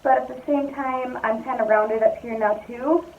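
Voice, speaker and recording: Slightly raspy, chatty young woman. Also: fairly high pitch, steady tone, normal speed, phone-quality sound. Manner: chatty, easygoing, thinks aloud with fillers. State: hoarse. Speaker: vlogger. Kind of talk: casual chat to camera. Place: at home, indoors.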